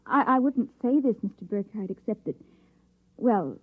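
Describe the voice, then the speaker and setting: An actress playing a deferential young secretary with a breathy, coaxing manner, in an old-time radio drama style. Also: female voice, a little high, lively intonation, moderate pace. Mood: tender, coaxing. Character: solicitous young secretary coaxing her boss with soft devotion. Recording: thin, narrow-band vintage radio recording.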